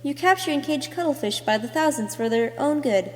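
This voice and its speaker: A woman's voice: sits high, animated, fairly quick. A woman reading scripted second-person narration as an amateur voice actor, in a performed storytelling way. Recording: echoey, long room reverb.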